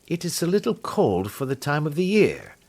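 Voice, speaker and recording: A man voicing a calm, amused detective who speaks quietly and imperturbably. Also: on the high side, normal speed, pitched above the performer's own voice, full character voice. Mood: bland.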